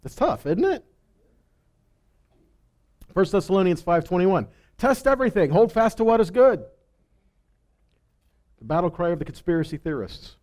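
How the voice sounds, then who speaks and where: Male preacher, middle-aged, warm dark timbre, emphatic teaching with rhetorical questions, headset mic. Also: sits high, expressive, moderate pace, long pauses. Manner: emphatic, rhetorical questions, stresses key words. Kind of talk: sermon, conversational teaching. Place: stage in a congregation hall.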